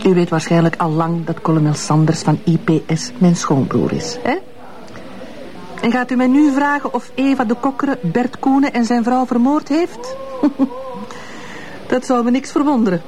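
An actress playing a composed, bitter middle-aged ex-wife of an abusive notable, with a Flemish accent, in a television crime drama. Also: female voice, on the high side, normal speed, a little mellow, close to an everyday voice. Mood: defiant, cool.

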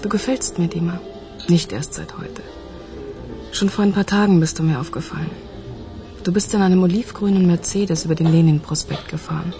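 A woman playing a forthright, seductive character in a German radio crime drama, speaking in a calm, flirtatious way. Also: fairly low pitch, brisk, natural voice. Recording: music playing behind the voice.

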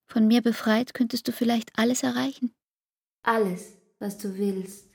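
An actress playing a weary, pleading young woman in love in a German radio drama, intimate and breathy, slipping into whispers. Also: fairly high pitch, darkish tone, lightly acted. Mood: dejected, self-blaming.

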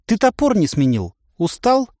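A man voicing a timid but stubborn young woman who talks in a squeaky, plaintive way. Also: huge pitch swings, moderate pace, darkish tone, dry recording, clean studio sound. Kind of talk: audiobook character voice.

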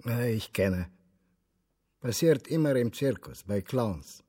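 An actor playing a laconic drinking companion in a radio drama. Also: male voice, mid-range voice, lively intonation, slow, dark tone, slightly rough. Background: music.

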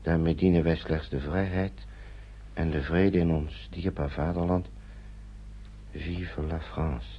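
Radio play: a wary, gentlemanly Englishman, low and gravelly, hesitant delivery, lo-fi sound. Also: steady tone, takes it slowly, long pauses, slight background noise. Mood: reading gravely. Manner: hesitant, mumbled repetitions. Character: cautious, hesitant Englishman in hiding, gentlemanly uncle. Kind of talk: radio drama acting. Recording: dull lo-fi radio recording.

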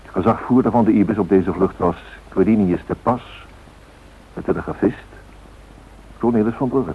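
An actor playing a solemn, reflective narrator in a radio play, reading in a measured way. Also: male voice, fairly low pitch, natural voice. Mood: solemn, factual. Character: solemn, reflective male documentary-drama narrator. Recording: tinny hissy old radio recording.

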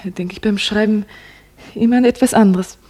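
A woman's voice: medium pitch, moderate pace, warm tone, lightly acted. A woman acting a young, devoted and curious secretary in a German radio play, coy and playful. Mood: coy, candid.

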